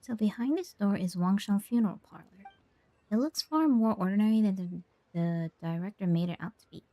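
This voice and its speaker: A woman's voice: medium pitch, brisk. A woman game streamer, casually commenting and reading aloud in an easygoing way. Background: soft game music.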